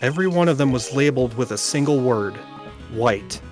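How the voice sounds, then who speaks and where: A man's voice: medium pitch, expressive, brisk, natural voice. A man voicing an earnest, dogged young defense lawyer in an amateur video game dub. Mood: accusing, forceful. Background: game music.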